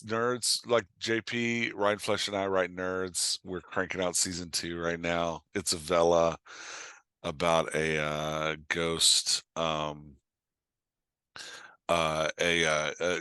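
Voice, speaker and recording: Middle-aged male podcast guest, low voice with forward brightness, loose, jokey, rambling chat. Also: clear, resonant tone, no room sound. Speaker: podcast guest, author and writing coach. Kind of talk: casual chat.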